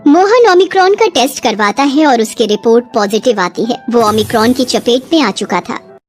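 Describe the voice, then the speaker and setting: A woman reading scripted story narration for children in an expressive storytelling way. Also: a little high, normal speed, soft background music.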